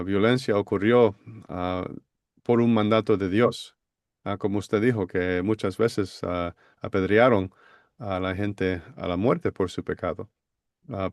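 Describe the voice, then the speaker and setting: A man's voice: fairly low pitch, no room sound, studio quality. A man explaining Bible passages on a podcast, hesitant with many fillers. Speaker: Bible teacher.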